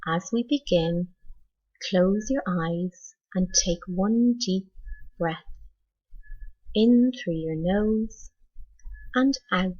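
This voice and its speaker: A woman with a husky edge to her voice leads a guided meditation at a very unhurried pace. She gives measured, teacherly instructions with deliberate pauses between phrases. The recording is a little dull and lo-fi. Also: medium pitch, mellow. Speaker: teacher leading mindful meditation for students.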